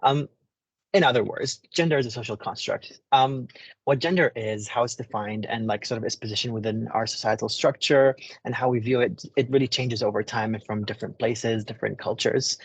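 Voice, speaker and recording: A speaker from the Middle East explaining terms on a video call, pausing often with ums and restarts. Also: male voice, medium pitch, husky, dry recording. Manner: hesitant, many ums and restarts. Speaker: panel speaker. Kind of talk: explanatory talk.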